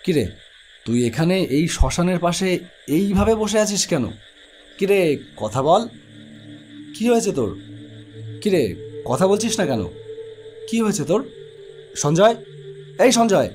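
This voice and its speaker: Man acting a high-voiced, anxious young guy. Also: big pitch swings, measured pace, long pauses, a little mellow, faint music behind. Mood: worried, calling out. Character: anxious young man searching for missing friend. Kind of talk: Bengali cartoon horror story voice acting.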